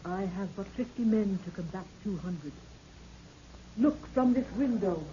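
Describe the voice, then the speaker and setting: A woman's voice: medium pitch, animated, unhurried, warm tone, slight background noise, close to an everyday voice. A dull, lo-fi vintage radio recording carries a woman portraying a regal African queen. Her phrasing is stately, grave and archaic, in the manner of a 1930s adventure serial. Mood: grave, anxious. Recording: muffled, lo-fi old radio recording.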